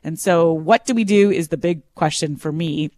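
A man's voice: on the high side, moderate pace, darkish tone, dry recording, clean studio sound. A man co-hosting a radio show, explaining things in an earnest way. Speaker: radio co-host. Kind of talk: casual radio chat. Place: radio studio.

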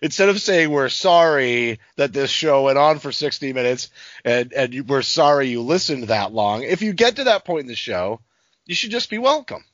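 A man's voice: medium pitch, lively intonation, moderate pace, fairly bright tone, no echo. Man co-hosting, dry and slightly dull audio. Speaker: podcast co-host. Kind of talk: casual sports podcast chat.

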